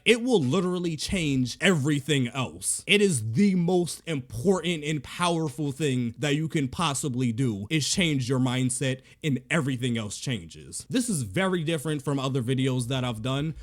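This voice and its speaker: A man who raps and produces music, talking casually and emphatically to camera in slangy speech full of "like" and "literally.". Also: a little high. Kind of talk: casual motivational vlog talk.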